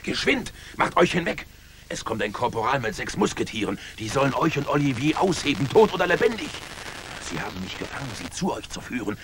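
An actor in a German radio drama playing a frightened, cowardly peasant who pleads in an agitated, breathless way. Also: male voice, medium pitch, very animated, fairly quick, a bit bright, slight background noise. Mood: panicked.

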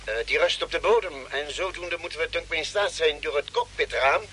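An actor playing a careful, reasoning expedition doctor with precise, formal phrasing in a Dutch radio play. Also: male voice, a little high, a little fast, sharp tone. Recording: noisy old radio drama recording.

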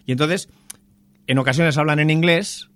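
A man's voice: a little high, fairly even intonation, moderate pace, fairly bright tone, no echo. A man chatting casually about a film he enjoyed, talking with enthusiasm and frequent restarts. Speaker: film reviewer on a radio show. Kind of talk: casual chat.

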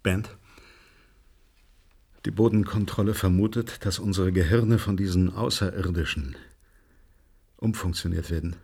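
Sci-fi radio drama: a solemn ship's commander, very low and husky, authoritative, dry studio sound. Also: male voice, fairly even intonation, a little slow, long pauses, darkish tone, no room sound, full character voice. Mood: grave, worried. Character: grave spaceship commander reporting on a doomed crew. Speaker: actor. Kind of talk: German science-fiction radio drama.

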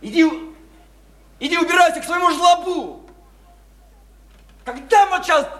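A man's voice: very high voice, measured pace, fairly bright tone. An actor playing a drunken, educated man who is scornful, bullying and self-pitying, in a theatrical, declamatory radio play style. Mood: scornful rage. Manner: contemptuous, declamatory, volatile. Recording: roomy, distant, noisy stage-like radio play recording.

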